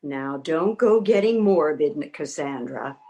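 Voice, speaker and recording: A woman's voice: deep voice, animated, takes it slowly, dark tone, dull audio, a little hiss or hum, heavily acted. A woman playing a bossy, practical older woman in a comic readers theater piece, impatient and scolding but caring underneath. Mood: stern. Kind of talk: comedic readers theater acting.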